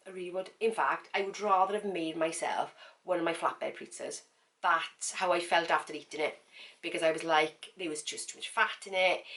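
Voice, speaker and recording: A woman talks straight to her viewers in a casual vlog, her voice a little croaky and pitched on the low side. She chats frankly and loosely, circling back with fillers and repeated words. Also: a bit bright. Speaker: vlogger. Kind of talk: casual talk to camera.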